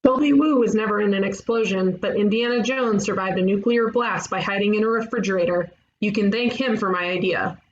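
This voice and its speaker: A woman voicing a cocky, excitable young amateur detective in a cartoon comedy, with an emphatic know-it-all manner. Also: medium pitch, fairly quick, hardly any pauses, lightly acted. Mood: confident. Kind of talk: animated comedy table read. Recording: muffled, clearly noisy home recording.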